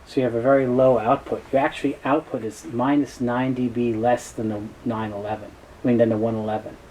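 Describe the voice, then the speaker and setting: A middle-aged man explains product history in a soft, mellow tone at a medium pitch. His delivery is informal and halting, with pauses and self-corrections. Clear background noise sits behind his voice in a small office. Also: level tone, poor audio. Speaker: presenter, tape specialist. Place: small cluttered indoor office. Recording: noticeable background noise, room ambience.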